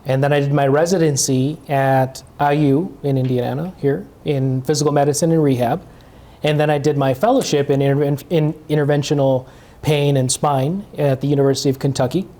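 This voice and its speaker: Chicago-area guy, pain doc, laid back chatty talk, mellow voice. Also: a little high, steady tone, fairly quick. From Chicago area, USA. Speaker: pain management doctor. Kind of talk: community talk, personal background.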